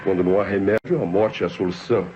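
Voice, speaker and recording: Ator fazendo sobrinho calculista de voz grave. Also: male voice, deep voice, steady tone, quick pace, a little mellow, lightly acted. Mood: coldly persuasive. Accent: Brazilian. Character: scheming indebted nephew, coldly plotting his aunt's murder. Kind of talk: old Brazilian radio drama acting with first-person narration. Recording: dull old radio recording with music behind.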